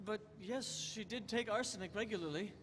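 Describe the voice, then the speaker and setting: A man's voice: high-pitched, a little fast, a bit bright. A man playing a tormented, nightmare-plagued horror writer in a staged drama, formal and melodramatic in his anguish. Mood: subdued. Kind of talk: audio-drama style stage acting. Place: large convention hall stage. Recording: echoey hall stage recording with noise.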